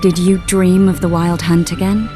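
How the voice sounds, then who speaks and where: An actress playing a composed, learned sorceress in a video game, speaking in a measured, articulate, gently argumentative way. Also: female voice, lowish voice, flat delivery, over music, close to an everyday voice. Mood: composed, reasoning. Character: composed, learned adult sorceress, loyal and reasoning. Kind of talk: video game cutscene acting.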